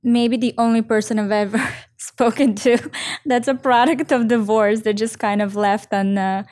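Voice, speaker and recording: A woman's voice: a little high, fairly even intonation, normal speed, a little mellow, no echo, studio quality. A woman hosting a casual interview, asking friendly and admiring questions. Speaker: podcast host.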